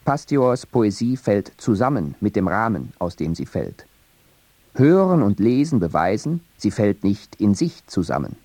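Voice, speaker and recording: A man reading a scripted radio essay on poetry in a measured, deliberate way. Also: lowish voice, very animated, mellow, no echo. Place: radio studio.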